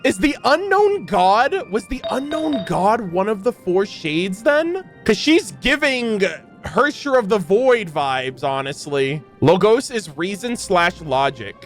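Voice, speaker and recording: High-voiced man reacting excitedly. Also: slow pace, soft background music. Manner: animated, exclamatory, swears freely. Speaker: streamer reacting to a video game's lore. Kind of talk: excited reaction commentary, reading aloud and commenting.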